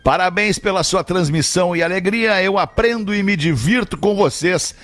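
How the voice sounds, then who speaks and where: A high-pitched man, hosting a loose comedy podcast. He reads listener messages slowly and deliberately, then jumps back into quick banter with his co-hosts, sometimes breaking into loud laughing shouts. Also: no echo. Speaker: podcast host reading listener mail.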